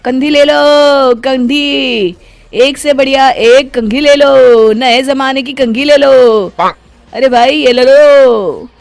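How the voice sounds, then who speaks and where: A woman voicing a loud, brash male street peddler, blunt and calling out his wares in a story. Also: mid-range voice, fairly even intonation, natural voice. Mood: hawking. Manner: drawn-out hawking calls, blunt.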